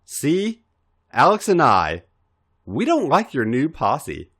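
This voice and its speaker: A man's voice: on the high side, wildly swooping pitch, takes it slowly, a bit bright, breathy edge, pitched above the performer's own voice, full character voice. A man voicing a smug, taunting male wrestler rival with mocking emphasis in an audio story.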